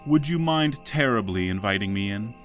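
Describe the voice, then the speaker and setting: Give the part to a low-voiced vampire count played by a man, formal and unfailingly courteous. He measures out his phrases like an old aristocrat in an audio drama. Faint music plays behind him. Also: expressive, moderate pace, lightly acted. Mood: courteous, composed.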